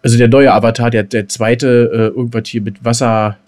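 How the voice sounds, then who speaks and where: A man's voice: medium pitch, flat delivery, fairly quick, darkish tone. A man co-hosting a podcast, chatting casually about films in colloquial slang with some mild swearing. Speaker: podcast co-host. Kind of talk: casual chat, film talk.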